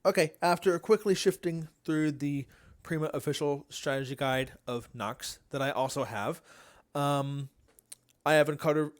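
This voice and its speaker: Higher-voiced man chatting on a game stream. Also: a bit bright. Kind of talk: casual livestream chat while playing.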